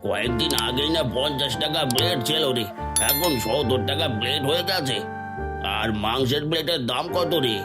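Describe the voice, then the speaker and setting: A man's voice: mid-range voice, normal speed, close to an everyday voice. Man playing a grumbling aging villager, music behind. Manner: complaining, singsong exclaiming. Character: grumbling, aging poor male villager customer. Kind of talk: cartoon moral-story voice acting.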